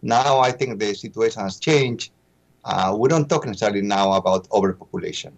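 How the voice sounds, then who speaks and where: Guy, lowish voice, expert being interviewed, explains carefully and a bit haltingly. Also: vocal fry. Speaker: interview guest, expert official.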